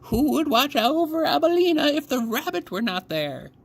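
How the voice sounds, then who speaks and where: A man voicing a solemn, watchful old woman in a storybook, slow and deliberate, hushed and breathy at times. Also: very high voice, sing-song, pitched above the performer's own voice, heavily acted. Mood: ominous, solemn.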